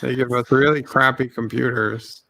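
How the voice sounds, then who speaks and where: A man doing a bragging Slovakian man in a mock accent. The brags come deadpan and goofy, in a gravelly, bright voice. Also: medium pitch, moderate pace. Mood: joking.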